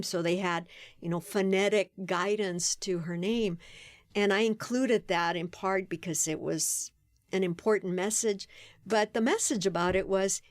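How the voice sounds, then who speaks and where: A woman guest on a podcast interview, telling stories in an animated way and laughing often. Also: on the low side, dry recording. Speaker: guest, playwright and performer.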